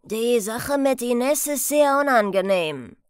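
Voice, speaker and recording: Frau spielt einen vorsichtigen, direkten Ganovengehilfen. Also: female voice, a little high, expressive, slow pace, clear, resonant tone, no room sound, studio quality. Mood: concerned. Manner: blunt, objecting. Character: wary, blunt male criminal henchman.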